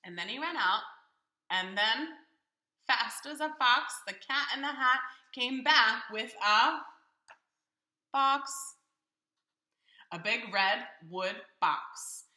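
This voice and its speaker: Bright, animated woman reading aloud, roomy echo. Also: on the high side, takes it slowly, pauses often. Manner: expressive, asks listeners questions. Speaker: children's story reader, teacher. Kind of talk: animated picture-book read-aloud for children.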